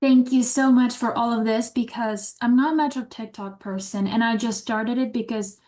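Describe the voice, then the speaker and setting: Ukrainian-accented woman chatting excitedly, keeps restarting sentences, a bit higher pitched. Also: moderate pace. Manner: eager, rambling, with many restarts. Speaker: teacher in training. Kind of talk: casual webinar chat.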